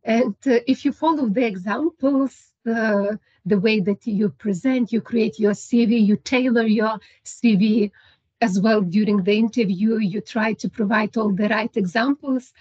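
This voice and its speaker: Breathy woman advising on a call. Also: on the high side, measured pace, darkish tone, no room sound, lo-fi sound. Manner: encouraging, gives advice with light laughs. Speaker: seminar presenter, career advisor. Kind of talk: online seminar Q&A. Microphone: video call.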